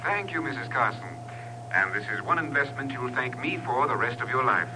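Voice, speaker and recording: An actor playing a smooth-talking swindler posing as an investment salesman in a 1950s radio drama. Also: medium pitch, animated, a little fast, music in the background, natural voice. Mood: reassuring. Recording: noisy, humming, distant vintage radio recording.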